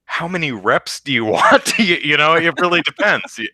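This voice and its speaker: A man with a deep bass voice, co-hosting a podcast in casual chat, rambling with lots of restarts. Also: lively intonation, normal speed, pushed and bright, no echo. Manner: rambling, self-interrupting with restarts, sings low demo notes. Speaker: podcast co-host.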